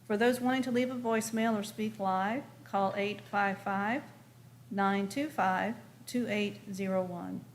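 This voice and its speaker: A woman's voice: medium pitch, fairly even intonation, a little slow, slight background noise. A woman chairing a public meeting, asking questions and running procedure in a businesslike, direct way. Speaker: commission chair.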